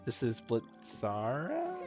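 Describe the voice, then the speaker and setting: A male game streamer chatting casually as he plays. His voice is average in pitch, his talk slangy and jokey, with faint game music underneath. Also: wildly swooping pitch, takes it slowly, darkish tone. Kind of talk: casual gameplay commentary.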